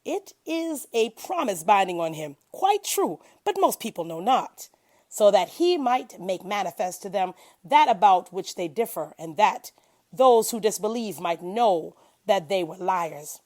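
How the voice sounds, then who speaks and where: A woman's voice: mid-range voice, sing-song, a little slow, a little mellow. A woman reading a translation of scripture aloud as devotion, in a solemn, declamatory manner with emphasis on key phrases. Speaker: scripture reader.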